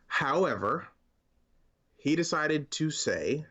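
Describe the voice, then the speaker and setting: A man's voice: on the high side, expressive, slow pace, fairly bright tone. A man co-hosting a casual conversational podcast, speaking in an emphatic, opinionated way. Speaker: podcast co-host.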